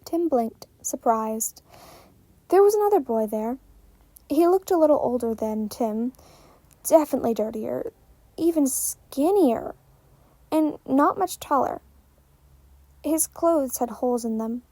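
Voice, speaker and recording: A woman reading fan fiction aloud as an expressive story narrator. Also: high-pitched, measured pace, long pauses, no echo.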